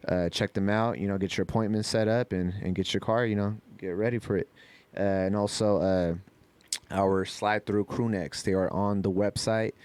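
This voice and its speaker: Male American podcast host, slangy and informal, smooth tone, close dry sound. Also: fairly low pitch, fairly even intonation, moderate pace, darkish tone, no echo. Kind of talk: casual chat and sponsor shout-outs.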